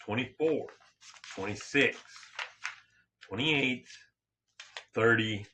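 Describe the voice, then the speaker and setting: A man hosting a casual sports talk show, slightly hoarse, rambling and joking with frequent restarts and self-corrections. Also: medium pitch, slow pace, sharp tone, slight background noise. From California. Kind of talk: casual solo sports and politics chat.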